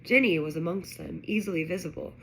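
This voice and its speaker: A woman narrating a children's fantasy story aloud in an expressive reading style. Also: lowish voice, brisk, creaky, low-quality recording. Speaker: audiobook-style reader.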